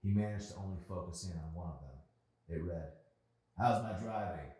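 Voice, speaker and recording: A man narrating his own novel aloud in an expressive storytelling style. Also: sits low, brisk. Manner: expressive, acts out dialogue. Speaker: author reading own novel. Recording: very echoey room.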